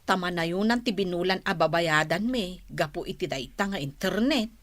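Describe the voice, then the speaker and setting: A woman's voice: fairly low pitch, animated, moderate pace, fairly bright tone, no room sound. A woman playing a jealous, nagging, suspicious wife in a Filipino radio comedy-drama, speaking probingly with a Filipino accent. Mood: wary.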